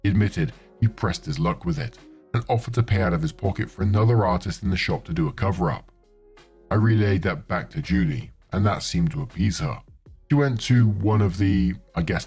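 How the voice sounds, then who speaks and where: A man's voice: low-pitched, darkish tone. A man reading forum posts and comments aloud in a conversational storytelling style. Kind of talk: scripted narration. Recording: faint music underneath.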